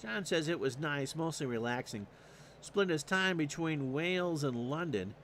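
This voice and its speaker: High-voiced unhurried baseball announcer. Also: male voice, slight background noise. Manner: stat-heavy, dry asides, rising excitement on big plays. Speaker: play-by-play announcer. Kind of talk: solo replay commentary streamed live.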